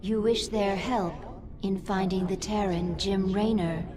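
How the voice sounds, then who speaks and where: A woman's voice: medium pitch, steady tone, unhurried, background noise, natural voice. An actress playing an obedient female alien brood commander in a video game cutscene, formal and deferential. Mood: dutiful.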